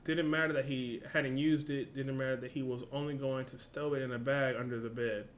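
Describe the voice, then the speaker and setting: Man, reaction host, casual slangy delivery, medium pitch, reading and commenting, noticeable background noise. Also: steady tone, a little fast. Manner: informal, slangy, swearing, exclamatory asides.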